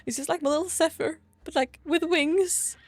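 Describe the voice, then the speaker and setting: A woman's voice: very high voice, steady tone, normal speed, dry recording. A woman from Sweden chatting casually over gameplay in a gushing, rambling way, sounding a bit tired. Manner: gushing over cute things. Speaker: gaming vlogger.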